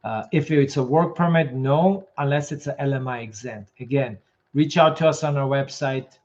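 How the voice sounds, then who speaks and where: Male host, mid-pitched, hoarse, brisk matter-of-fact Q&A delivery, muffled livestream audio. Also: a little mellow. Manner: quick, matter-of-fact answers, reads comments aloud. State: losing his voice. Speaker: immigration consultant host. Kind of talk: live Q&A reading viewer questions.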